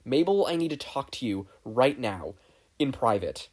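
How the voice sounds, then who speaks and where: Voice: mid-range voice, sing-song, moderate pace, a little mellow. A narrator voicing an anxious, suspicious preteen boy in a fan fiction audiobook. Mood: alarmed.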